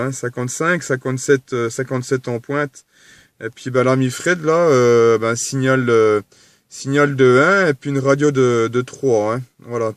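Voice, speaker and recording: A man making casual conversation as a radio operator, halting and repetitive, often ending phrases with little tags. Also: medium pitch, clean tone, dry recording. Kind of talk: casual radio chat with signal reports.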